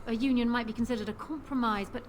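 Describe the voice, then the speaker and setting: Woman playing a proud, cool queen, higher-pitched, noisy backdrop. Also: steady tone, close to an everyday voice. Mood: cool, measured. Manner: formal, measured, composed wording. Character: proud, shrewd widowed queen, coolly pragmatic. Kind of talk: scripted video game acting.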